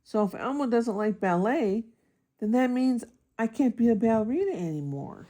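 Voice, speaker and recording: A woman's voice: on the high side, lively intonation, normal speed, warm tone, pitched above the performer's own voice. A woman voicing a sensitive young girl monster who loves ballet, earnest in manner, in a children's picture book. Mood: sad, hurt. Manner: instructing, earnest.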